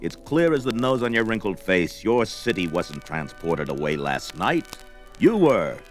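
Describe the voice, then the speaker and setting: Young barbarian hero, deep chesty pitch, lively intonation, gruff, wary, declamatory, fantasy audio play. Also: male voice, a little slow, faint music behind. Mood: blunt, impatient. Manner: hearty, blunt, declamatory. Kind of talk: 1970s fantasy audio drama acting.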